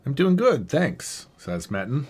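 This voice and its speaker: A man streams game development from a computer desk in a casual register. He talks through the work as it happens, thinking aloud, and breaks off to answer chat. The pitch sits in an ordinary middle range. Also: sing-song, measured pace, a little mellow, a little hiss or hum. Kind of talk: casual livestream work commentary.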